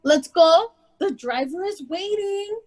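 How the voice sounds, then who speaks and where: With noticeable noise behind her, a woman plays a fond, bossy aunt in a radio drama. Her high voice swings widely through brisk, motherly orders. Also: lively intonation, heavily acted. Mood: fond, bustling. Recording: clear background noise with clicks.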